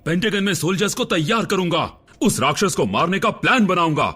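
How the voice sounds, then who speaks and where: Husky high-ish cranky agent guy, brusque. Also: animated, a little fast, sharp tone, full character voice. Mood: agitated. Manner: brusque, agitated. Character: gruff middle-aged male government agent, impatient.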